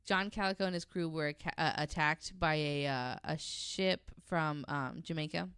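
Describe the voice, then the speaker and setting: A woman's voice: sits low, moderate pace, a bit bright, smooth, no room sound, studio quality. A woman telling a story in casual, chatty conversation, informal and given to jokey asides. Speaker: podcast guest panelist telling a story.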